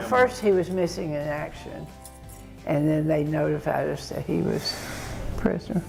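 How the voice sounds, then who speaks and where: Elderly female veteran, very slow and deep, heavy vocal fry. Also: warm tone, rough recording. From Tennessee, United States. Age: older. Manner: unhurried, with fillers and throat clearing. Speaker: veteran guest. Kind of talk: recalling wartime memories.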